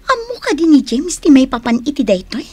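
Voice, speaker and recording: A woman's voice: high voice, sing-song, brisk, mellow, no echo. An actress with a Filipino accent playing a frank, concerned young female friend in a radio drama. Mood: concerned, reproving. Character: concerned, frank young woman, the heroine's confidante.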